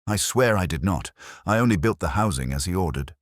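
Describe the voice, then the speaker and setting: Man playing deep-voiced, uneasy foundry worker. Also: animated, fairly quick, dry recording, studio quality. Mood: defensive. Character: exhausted, frightened male foundry worker protesting innocence.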